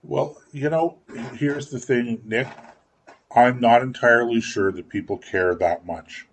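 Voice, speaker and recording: A man's voice: mid-range voice, a little slow, slightly rough. A man co-hosting a political talk radio show, chatting casually and often repeating words as he works out his thoughts. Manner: rambling, repeats words while thinking.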